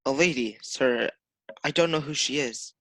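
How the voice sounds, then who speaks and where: A timid, dutiful office clerk as acted in a radio play. The voice is mid-pitched, meek and hesitant, always deferring to an employer. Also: moderate pace, heavy background noise, natural voice. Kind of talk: amateur radio play acting.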